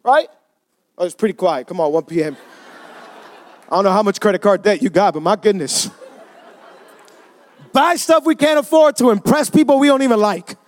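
A male pastor with a strikingly high voice delivers a sermon at a church service. He talks in an easy, conversational way and keeps prompting the congregation to answer him. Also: moderate pace, long pauses. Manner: conversational, asks the congregation for responses.

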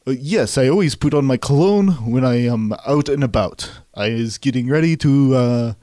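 Man putting on an earnest Bulgarian gamer, halting English. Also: mid-range voice, darkish tone, no echo, clean studio sound, close to an everyday voice. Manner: halting broken English, searching for words. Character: Bulgarian gamer, earnest, naive foreign visitor.

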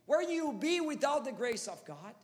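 Pastor, 30s, Brazilian Portuguese accent, high-ish voice, passionate preaching, hall echo. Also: male voice, sing-song, normal speed. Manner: impassioned preaching with rising rhetorical questions. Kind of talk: sermon.

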